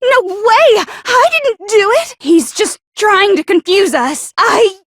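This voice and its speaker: High-pitched, paranoid teenage game girl. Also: animated, slow pace, dry recording, clean studio sound, exaggerated voice. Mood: panicked, furious. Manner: stammering, defensive, sharp-tongued. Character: paranoid, bitter, insecure teenage girl, accusatory and hostile. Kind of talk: anime video game voice acting.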